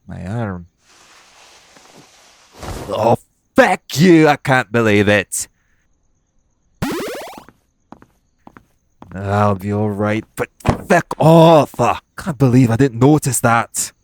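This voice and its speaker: A man's voice: medium pitch, expressive, takes it slowly, slight background noise. A man playing a cocky, teasing, foul-mouthed hitman in an audio roleplay. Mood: pained, angry. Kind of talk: audio roleplay acting addressed to the listener.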